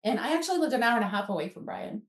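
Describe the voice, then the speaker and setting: A woman's voice: on the high side, very fast, far from the mic. American woman in her fifties, echoey room. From Alaska, United States. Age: 50s. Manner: animated, acts out remembered dialogue. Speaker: guest author. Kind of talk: casual conversational storytelling. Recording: roomy echo, away from the mic.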